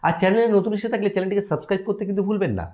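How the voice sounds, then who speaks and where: A man's voice: sits high, rapid-fire, darkish tone. A man hosting a casual app tutorial, explaining step by step and often checking in with listeners. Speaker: tutorial host.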